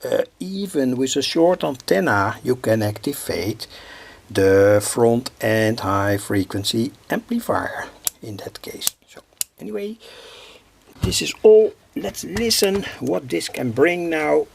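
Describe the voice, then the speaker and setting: A man from the Netherlands gives an informal, hands-on technical explanation as a hobbyist, in a husky, gravelly voice with halting, piecemeal phrasing. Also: mid-range voice, expressive, slight background noise. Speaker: hobby electronics video maker.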